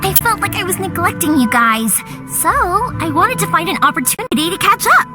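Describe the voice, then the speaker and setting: An actress playing a cheerful, energetic young female fireworks maker who talks in a bubbly, chatty, eager way. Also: very high voice, a little fast, loud music behind the voice.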